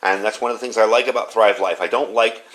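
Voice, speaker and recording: A man's voice: lowish voice, fairly even intonation, fast talker, fairly bright tone. A man giving a casual product review in a conversational, unscripted, matter-of-fact way.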